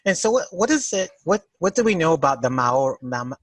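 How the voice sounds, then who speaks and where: A man's voice: fairly high pitch, big pitch swings, brisk, no room sound. Friendly Filipino-accented man teaching. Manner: friendly, laughs easily, prompts the guest with questions. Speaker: teacher hosting an art history lesson. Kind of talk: casual classroom discussion.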